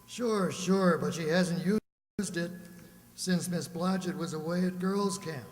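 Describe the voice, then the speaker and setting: Man playing a brisk questioning police lieutenant, higher-voiced, for a radio mystery in a reverberant hall. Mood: businesslike. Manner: plain-spoken, pressing. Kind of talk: detective radio play acting.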